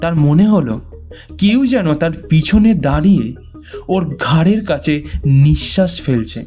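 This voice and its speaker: A man narrating a scripted ghost story in a suspenseful, deliberate way with dramatic pauses. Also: fairly high pitch, expressive, dark tone. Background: background music.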